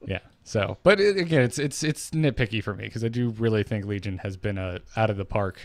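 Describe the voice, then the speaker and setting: A man's voice: fairly low pitch, fairly quick, no echo. A man co-hosting a casual gaming podcast, opinionated and emphatic, rephrasing as he makes his case. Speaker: podcast co-host.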